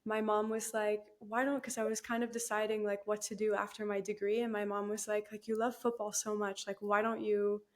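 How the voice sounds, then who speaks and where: A woman's voice: medium pitch, level tone, brisk, hardly any pauses. A young woman, chatting as a guest in an interview, laughing easily and using lots of fillers. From Canada. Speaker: guest, new team member. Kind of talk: casual interview chat.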